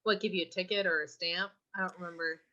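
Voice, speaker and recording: Young cali woman podcast chat, bright voice, keeps clearing her throat, kinda muffled audio. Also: fairly low pitch, fairly even intonation, fast. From California. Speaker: podcast co-host. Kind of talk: casual chat.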